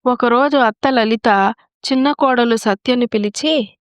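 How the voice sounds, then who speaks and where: A woman's voice: a little high, measured pace, dry recording, studio quality. A woman narrator telling a scripted moral story.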